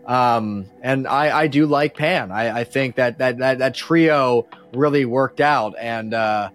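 A man's voice: mid-range voice, slow, faint music behind. A man chatting casually and enthusiastically as a fan and podcast guest, in an informal way.

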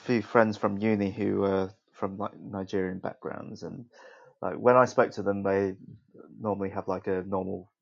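A man hosting a casual podcast interview, speaking informally in halting, conversational phrases. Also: deep voice, flat delivery, gravelly. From Chinese heritage with Hong Kong ties. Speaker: podcast host. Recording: slightly dull, lo-fi sound.

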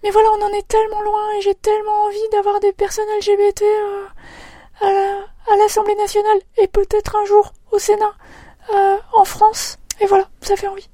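A woman chatting on a podcast from her home, her voice pitched very high yet held almost flat. The tone is soft and mellow, recorded close and dry. She speaks haltingly, restarting phrases and filling pauses. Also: no echo. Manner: hesitant, many fillers and restarts. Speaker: podcast co-host. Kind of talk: casual podcast discussion. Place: at home in a separate room during lockdown.

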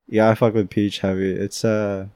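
A young man from the Philippines chatting casually in a slangy, flat monotone way, ranking his favorite fruits. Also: fairly low pitch, moderate pace, clear, resonant tone, no echo, studio quality. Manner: laid-back slangy talk, frequent swearing.

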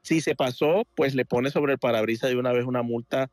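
Un hombre de Venezuela que llama a un programa en directo y explica algo con muchas muletillas y frases que vuelve a empezar. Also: male voice, mid-range voice, fairly quick, darkish tone, no echo, clean studio sound. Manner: rambling, many fillers and restarts. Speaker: caller. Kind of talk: casual call-in chat. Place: home in Miami Beach.

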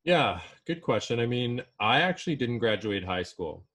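A man in his thirties, a life coach, talking as a podcast guest in a relaxed, rambling way with fillers. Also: mid-range voice, normal speed. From Canada. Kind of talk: casual interview talk.

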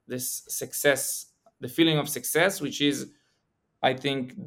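A young man with an Israeli accent talks in a relaxed podcast chat. His voice is gravelly at mid pitch, and he stops and restarts his sentences often. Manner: halting, many restarts and fillers. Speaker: podcast guest. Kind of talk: casual podcast conversation.

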